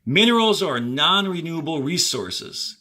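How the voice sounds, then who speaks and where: A man teaching children, reading a textbook aloud and explaining it step by step in short, deliberate directions. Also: a little high, sing-song, pushed and bright. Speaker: fourth-grade teacher. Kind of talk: instructional read-aloud of a textbook to pupils.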